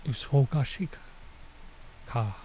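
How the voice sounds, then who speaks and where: A man streaming a visual novel, talking in a mellow, relaxed voice at a medium pitch. His commentary is informal and halting, often drifting into muttering as he works out lines and reads them aloud. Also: slight background noise. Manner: informal, halting, mutters to himself while translating. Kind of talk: casual let's play commentary, reading dialogue aloud.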